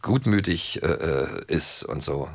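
A man's voice: rumbling low, lively intonation, unhurried, no room sound, studio quality. A man hosting a radio-play podcast, talking enthusiastically, imitating characters, and often searching for words. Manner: begeistert, macht Figuren nach, sucht oft nach Worten. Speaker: Podcast-Host. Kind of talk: lockeres Gespräch.

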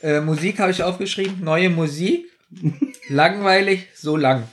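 A man's voice: high-pitched, a little slow, slight background noise. A man with a slight cold, co-hosting a casual podcast about audio dramas in a self-deprecating way. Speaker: podcast co-host.

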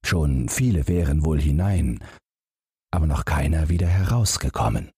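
Low, husky male storyteller. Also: lively intonation, moderate pace, warm tone, no room sound, studio quality. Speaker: audiobook narrator. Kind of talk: expressive fairy tale narration.

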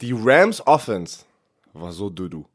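A man's voice: on the low side, very animated, measured pace, slightly rough. Congested man, stammering sports chat. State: sick, congested. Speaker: podcast co-host.

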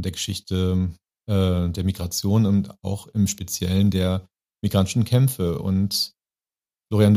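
A man with a fairly low voice hosts a podcast interview. His moderation is semi-spontaneous, and his sentences run wordy and roundabout. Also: a little mellow. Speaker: podcast host.

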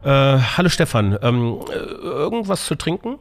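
A man's voice: medium pitch, sing-song, normal speed, soft background music. A man hosting a podcast, talking informally and jokingly in a casual interview style. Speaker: podcast host.

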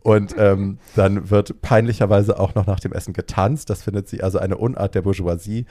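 A man co-hosting a podcast, casually retelling a film's plot in a lively way and dropping in English phrases. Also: lowish voice, normal speed, no room sound, clean studio sound. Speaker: podcast co-host. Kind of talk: casual chat retelling a film.

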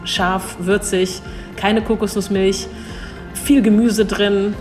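A woman's voice: medium pitch, level tone, takes it slowly. Young woman interviewee, thoughtful and hesitant, laughs easily, faint music under her. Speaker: cultural attaché at an embassy. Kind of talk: interview answers.

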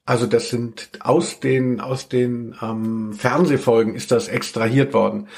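A man with a noticeably creaky, average-pitched voice hosts a podcast. He talks casually and loosely, wandering through his sentences and correcting himself. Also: fairly even intonation, measured pace, darkish tone. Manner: rambling, self-correcting. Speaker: podcast host.

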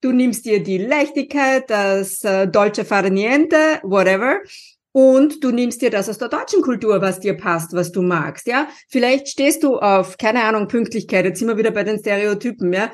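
A woman's voice: medium pitch, moderate pace. A woman from Austria with an Austrian German accent, hosting a podcast interview in an encouraging, emphatic way. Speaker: podcast host. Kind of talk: conversational interview.

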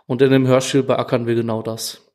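Guy chatting as a podcast guest, medium pitch, explaining his work. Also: flat delivery, brisk, a little mellow. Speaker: podcast guest, music educator. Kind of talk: casual interview talk.

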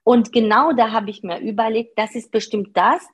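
Husky, hesitant woman in her thirties. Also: on the high side, normal speed, lo-fi sound. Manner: hesitant, searching for words, many fillers. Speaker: podcast guest, part-time manager. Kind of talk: conversational interview answers.

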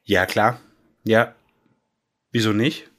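A man in his thirties who officiates weddings, talking in a relaxed, rambling way on a podcast. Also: on the low side, takes it slowly. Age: 30s. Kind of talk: casual chat.

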